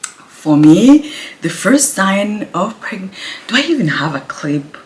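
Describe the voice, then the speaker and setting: A woman, her Kinyarwanda, chatting casually to camera at home, speaking softly and laughing now and then. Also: medium pitch, sing-song, slow. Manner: speaks softly, laughs often, restarts words. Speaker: vlogger. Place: home, indoors.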